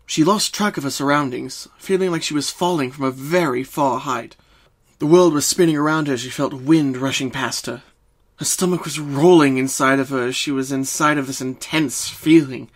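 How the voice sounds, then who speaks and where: Male narrator for a scripted dramatic reading, mid-range pitch, storytelling delivery. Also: moderate pace.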